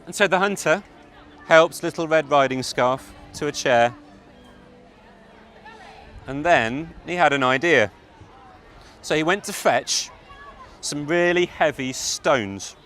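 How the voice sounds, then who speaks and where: A man narrating a fairytale live to children, theatrical and deliberate, with dramatic pauses. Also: fairly high pitch, fairly bright tone, faint music behind. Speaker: storyteller narrator. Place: outdoor public event.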